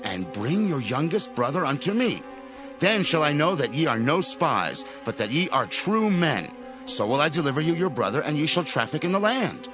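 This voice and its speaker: An actor playing an earnest grown son in a Bible audio drama, speaking in a solemn, emphatic, declamatory way. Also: male voice, a little high, sing-song, normal speed, over music, poor audio. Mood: solemn report. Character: earnest adult son pleading with his aged father.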